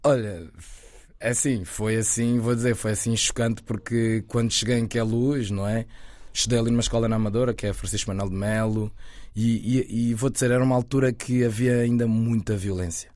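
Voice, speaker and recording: A man in his twenties, raised near Lisbon, chatting as an interview guest, relaxed and rambling with fillers and restarts. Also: medium pitch, brisk, no echo. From Lisbon area, Portugal. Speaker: guest, actor and content creator. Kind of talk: casual interview talk.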